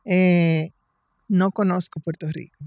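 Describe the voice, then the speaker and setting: Female podcast guest, 60s, Dominican accent, conversational storyteller with halting delivery, recorded dry. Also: on the low side, fairly even intonation, darkish tone, no echo. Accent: Dominican Spanish. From Dominican Republic. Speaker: podcast guest, writer and cultural promoter.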